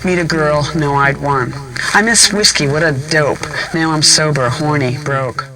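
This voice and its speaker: Higher-voiced man declaiming short verse lines. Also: a little slow, low-quality recording.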